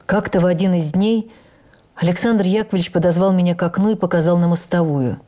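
A woman playing an older actress who recalls her life in a heartfelt, measured radio memoir reading with deliberate pauses. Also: on the low side, steady tone, mellow, lightly acted. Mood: reflective. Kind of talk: dramatic first-person memoir reading.

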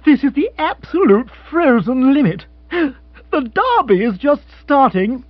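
A man reading an audiobook, voicing an excitable, flustered aristocratic Englishman in a high, breathless, fussy voice. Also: unhurried, dry recording, highs cut off, heavily acted.

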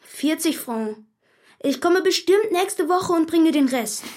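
A woman's voice: very high-pitched, lively intonation, slow pace, full character voice. An actress playing a polite, eager, slightly hesitant schoolgirl in a German radio drama.

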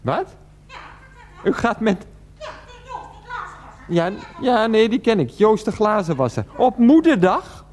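A flustered grown son in a radio play. His pitch leaps about as he talks to his mother, pleading in halting, repeated bursts, exasperated and insistent. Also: sing-song, darkish tone, exaggerated voice. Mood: incredulous, pleading.

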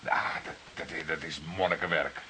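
An actor playing a skeptical, outspoken junior police detective in a Dutch radio drama, argumentative and emphatic. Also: male voice, a bass voice, steady tone, normal speed, pushed and bright. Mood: exasperated, skeptical. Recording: hissy old radio play recording.

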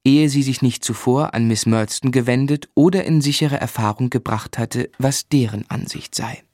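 An actor playing a reflective grown man who narrates his unhappy childhood in a German radio play, measured and grave. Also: medium pitch, darkish tone, no room sound, studio quality, close to an everyday voice.

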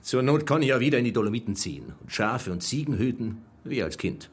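A man in a radio play acting an easygoing, evasive film-star husband from South Tyrol, with a husky voice. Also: medium pitch, lively intonation, a little fast. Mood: defensive.

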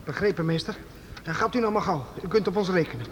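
A man's voice: high-pitched, expressive, fast, slight background noise. Een man speelt een trouwe, beleefde jonge leerling in een hoorspel, met een hese stem. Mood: eager. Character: loyal young male apprentice, eager and polite. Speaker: apprentice. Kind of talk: radio play acting.

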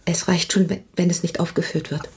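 A tired, candid wife in a radio drama. Her voice is slightly low and very breathy. She speaks plainly, in short measured phrases. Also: level tone, darkish tone, whispered, exaggerated voice. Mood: frank, weary. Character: weary, frank wife of a struggling writer. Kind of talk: radio play acting.

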